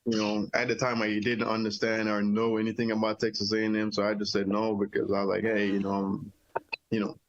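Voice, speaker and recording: Relaxed Jamaican-born man, low voice. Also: a little fast, no echo, lo-fi sound. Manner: relaxed, rambling with many "you know" fillers. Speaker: interview guest, army veteran. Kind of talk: casual storytelling interview.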